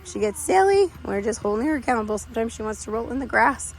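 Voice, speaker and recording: A young woman dog trainer, hoarse from losing her voice, explains a lesson in a chatty way and gives firm short commands to a dog, recorded on a phone in an outdoor park. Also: a little high, sing-song, quick pace. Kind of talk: casual on-the-spot training explanation. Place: outdoor park with other dogs. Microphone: handheld phone camera.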